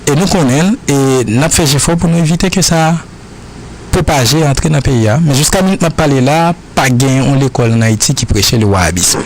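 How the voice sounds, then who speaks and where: A man's voice: mid-range voice, expressive, slight background noise, poor audio. Earnest Haitian man answering questions. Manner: earnest, repetitive, halting phrasing.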